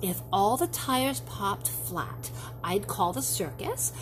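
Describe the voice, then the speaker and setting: A woman's voice: mid-range voice, expressive, slow, over music. A woman voicing a patient, playful mother who reassures her child with thoughtful answers in a children's storybook. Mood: reassuring. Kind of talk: expressive children's storybook reading.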